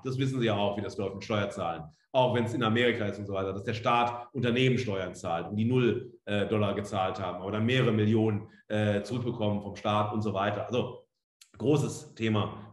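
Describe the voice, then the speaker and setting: A middle-aged man gives an emphatic, freely spoken online lecture, leaning on rhetorical questions and short tag words. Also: lowish voice. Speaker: professor giving a guest lecture. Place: indoor room, on video call. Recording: roomy, echoing indoor sound.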